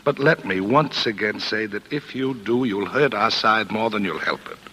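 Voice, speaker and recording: A brisk, paternal defense attorney in a 1940s radio drama. The man's low voice is forceful and lively, weary yet insistent. Faint noise marks the old recording. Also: rough recording. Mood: firm, persuasive. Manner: authoritative, repeats himself, talks over objections.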